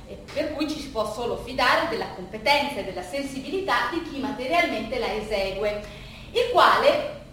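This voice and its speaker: Distant and swimming in echo, a high-voiced actress playing an anxious woman restorer delivers a stage monologue. She stammers, repeats words and nervously corrects herself as she addresses her listeners. A faint noise sits in the recording. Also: fairly bright tone. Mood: nervous, explanatory. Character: flustered, anxious art restorer, mentally ill man's daughter. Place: performance space with an audience.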